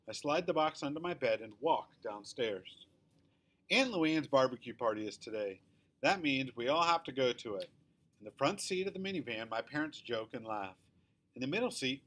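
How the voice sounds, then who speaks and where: A man reading a children's story aloud in the first person, with expressive, emphatic storytelling. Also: medium pitch. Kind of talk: scripted children's book read-aloud, first-person narration.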